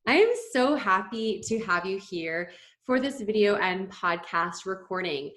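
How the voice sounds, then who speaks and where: A woman hosts a relaxed interview podcast with a bright, enthusiastic manner. Her pitch sits in an ordinary middle range, and she laughs easily as she chats. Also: measured pace. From Canada. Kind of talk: casual interview chat. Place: home in a small coastal community.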